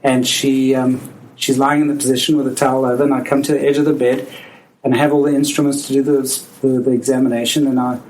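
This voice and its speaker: A man's voice: mid-range voice, flat delivery, fast, a little mellow, slight background noise, low-quality recording. A middle-aged man with a South African accent, a physician telling a personal story live to an audience in an earnest, conversational way. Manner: earnest, conversational, with frequent restarts. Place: library, live event.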